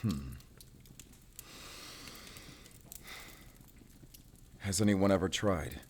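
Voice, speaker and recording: A man playing a grim, determined werewolf hunter in an audio drama, measured, brooding and terse. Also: low voice, husky. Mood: quietly defiant. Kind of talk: cinematic audio drama acting.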